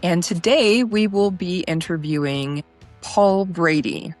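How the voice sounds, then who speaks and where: A woman's voice: on the low side, slow, soft background music. A woman hosting an interview show, introducing and questioning her guest. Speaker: host.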